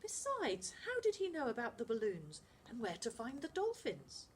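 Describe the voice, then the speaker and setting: A woman's voice: fairly high pitch, huge pitch swings, moderate pace, slightly rough, pitched above the performer's own voice, exaggerated voice. A woman voicing a cautious, anxious boy with a raised, complaining voice. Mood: doubtful.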